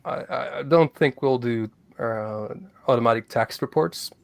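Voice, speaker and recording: Male guest, mid pitch, hesitant casual talk, dry recording. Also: creaky, dry recording. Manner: hesitant, halting with fillers. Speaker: guest, crypto project developer. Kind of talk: casual livestream chat.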